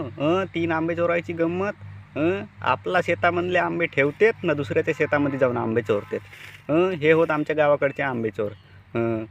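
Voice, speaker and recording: A man with a slightly high voice and a Vidarbha accent addresses viewers as narrator. His commentary is informal, moralizing and repetitive, captured in somewhat dull sound. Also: a little hiss or hum. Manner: repetitive, colloquial.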